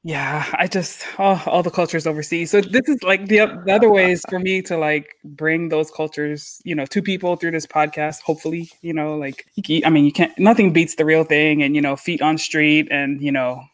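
A man hosting a podcast in casual chat, upbeat and animated, with lots of fillers. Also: on the high side, moderate pace, hardly any pauses, no echo. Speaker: podcast host.

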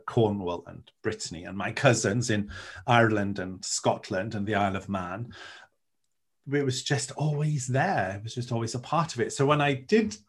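A man's voice: on the low side, normal speed, husky. Earnest man, lyrical and musing. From Wales. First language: Welsh. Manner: earnest, lyrical and searching, with many restarts. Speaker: interviewee, Druid. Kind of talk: reflective interview talk.

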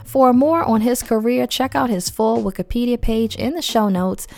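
A woman's voice: on the high side, normal speed, creaky, mellow, no room sound. Congested woman hosting a chatty podcast. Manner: chatty, slangy asides. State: head cold, losing voice. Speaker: podcast host. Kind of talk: casual podcast narration.

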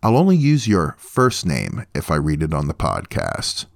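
A man's voice: extremely low pitch, wildly swooping pitch, normal speed, creaky, no room sound, clean studio sound. A man hosting a podcast, speaking in a scripted narration style to his listeners. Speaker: podcast host.

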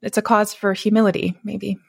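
A woman's voice: medium pitch, level tone, brisk, gravelly, darkish tone, breathy edge, dry recording, clean studio sound. A woman in a conversational podcast discussion, speaking in a hesitant, tentative, reflective way.

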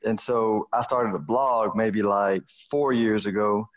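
A man's voice: fairly low pitch, steady tone, takes it slowly. A man with a Houston, Texas accent chatting casually as a podcast host, colloquial and peppering his talk with "you know". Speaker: podcast host and financial advisor. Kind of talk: casual conversational chat.

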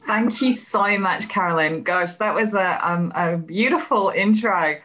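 A woman in her fifties from England, speaking with an English accent softened by years in Australia, chatting animatedly as an interview guest. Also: lowish voice, unhurried. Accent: English with some Australian. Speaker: guest, healing coach. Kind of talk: casual interview chat.

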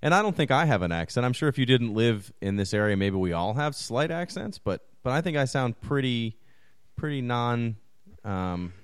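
A man's voice: medium pitch, big pitch swings, moderate pace, clean tone, dry recording, clean studio sound. A man in his thirties from Maryland, co-hosting a casual podcast chat, laughing easily and poking fun at himself. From Maryland, USA. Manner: laughs easily, self-deprecating. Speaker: podcast co-host.

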